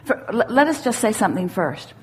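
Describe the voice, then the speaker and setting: A woman giving spiritual self-help teaching to a questioner, assured and deliberate, repeating phrases for emphasis. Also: mid-range voice, very animated, slightly rough. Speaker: speaker leading a question-and-answer workshop.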